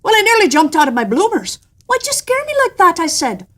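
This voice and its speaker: A woman's voice: very high-pitched, big pitch swings, brisk, a bit bright, pitched above the performer's own voice, heavily acted. A woman playing a sweet, cheeky little girl who tells a childhood memory in a dramatic, folksy way. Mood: startled, earnest.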